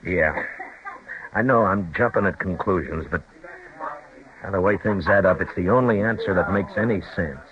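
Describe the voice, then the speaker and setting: Man doing a calm brooding marshal, really deep voice, muffled old radio sound. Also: lightly acted. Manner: calm, measured, understated. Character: steady, brooding male frontier marshal. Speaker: town marshal. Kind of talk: 1950s radio drama acting. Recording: dull old radio broadcast.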